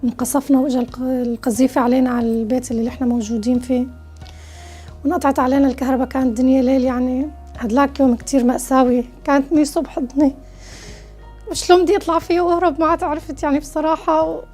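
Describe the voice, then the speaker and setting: A Syrian woman interviewed in a TV report, careful and slow in English, her first language Arabic. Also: high voice, level tone, warm tone, husky. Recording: TV report with music underneath.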